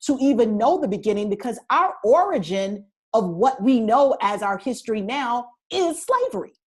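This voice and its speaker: A woman's voice: on the high side, big pitch swings, normal speed, a little mellow. A woman co-hosting a candid conversation, building her point step by step. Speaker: co-host.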